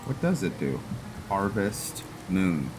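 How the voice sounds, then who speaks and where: A man's voice: low-pitched, big pitch swings, slow pace. A man hosting a gaming video with casual roleplay commentary, thinking aloud as he goes. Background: music.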